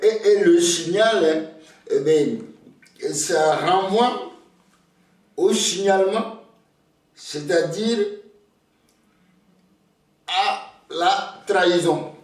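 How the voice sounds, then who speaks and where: An older Togolese man whose first language is Ewe, speaking slowly and hesitantly, often repeating words as he starts a thought. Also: high voice, recorded from a distance. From Togo. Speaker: interviewee, elder. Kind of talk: slow reflective storytelling. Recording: very echoey room, voice off-mic.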